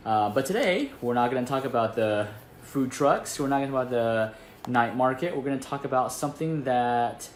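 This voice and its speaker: Male health presenter from Taiwan, mid-pitched, conversational acupuncturist explaining things simply over some background noise. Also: expressive, brisk. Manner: conversational, checks in with short tag questions. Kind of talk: casual instructional talk to camera.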